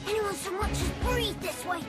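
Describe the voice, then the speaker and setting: A woman's voice: very high voice, moderate pace, loud music behind the voice. A woman voicing a small, cute, eager childlike creature in a video game, speaking in broken grammar. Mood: battle bravado. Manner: broken grammar, third-person speech. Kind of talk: video game cutscene acting.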